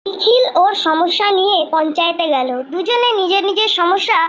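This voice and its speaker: A woman's voice: very high voice, brisk, a bit bright, slight background noise. A woman reading scripted narration for a children's cartoon story.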